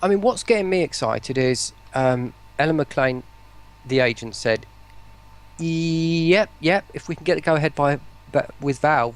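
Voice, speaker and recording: A man talking casually and enthusiastically in an interview, rambling and often breaking into his own sentences. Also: medium pitch. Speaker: voice pack producer, stream guest.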